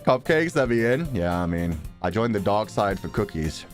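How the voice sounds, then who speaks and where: Very animated male game streamer. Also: on the low side, normal speed, faint music behind. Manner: animated, joking, slangy. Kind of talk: casual live game commentary.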